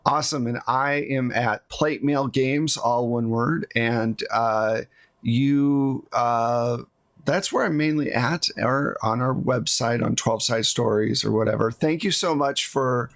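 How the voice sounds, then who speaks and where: A man's voice: mid-range voice, takes it slowly, gravelly, fairly bright tone. A man hosting a tabletop roleplaying game as game master, talking casually and explaining things in a conversational way. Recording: noticeable background noise.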